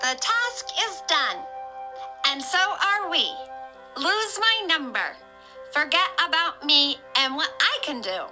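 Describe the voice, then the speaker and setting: A woman's voice: sits high, big pitch swings, slow, pushed and bright, exaggerated voice, poor audio. Piercing, defiant mystery woman. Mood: cold defiance. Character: bitter, defiant mysterious woman cutting ties. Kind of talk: audio drama acting. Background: music.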